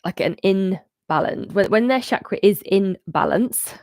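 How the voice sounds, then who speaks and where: A woman, congested and a bit muffled, talking casually to viewers on a live stream from a bright room at home into a studio mic. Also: mid-range voice, lively intonation, measured pace, no echo. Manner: chatty, gesturing, rambling with restarts. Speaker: host of a cat energy channel. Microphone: studio microphone on arm in front of her.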